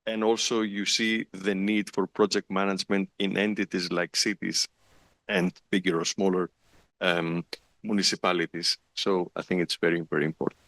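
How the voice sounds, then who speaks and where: Deep gravelly man, dry sound. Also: flat delivery, fairly bright tone, no room sound. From Greece. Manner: halting, repeats words. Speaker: panel speaker. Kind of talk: self-introduction on a panel.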